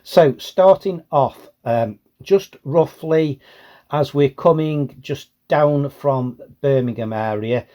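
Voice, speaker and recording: A man's voice: a little high, slow, dark tone. A British man giving a casual, plain-spoken explanation as a hobbyist presenter.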